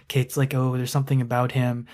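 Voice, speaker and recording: A man's voice: mid-range voice, completely flat delivery, a little fast, a little mellow. A man co-hosting a podcast, explaining his points in a casual, analytical way with lots of filler words. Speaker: podcast co-host.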